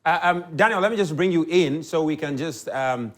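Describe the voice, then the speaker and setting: A man's voice: fairly high pitch, moderate pace, a bit bright. A man hosting a sports talk show, murmuring acknowledgements and asking hesitant questions. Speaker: host.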